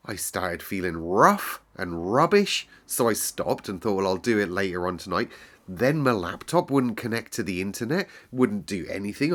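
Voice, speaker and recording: A man hosting story time in casual, playful chat, a little breathless with a chesty cold. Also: on the low side. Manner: chatty, self-deprecating, playful repetition. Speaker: storyteller and channel host.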